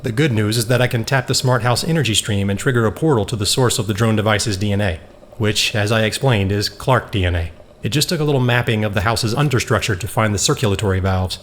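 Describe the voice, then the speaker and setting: An actor playing a serious, methodical scientist in an audio drama, explaining technical matters in a measured way. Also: male voice, fairly low pitch, fairly bright tone, a little hiss or hum, close to an everyday voice. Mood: serious, explanatory. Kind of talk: scripted audio drama acting.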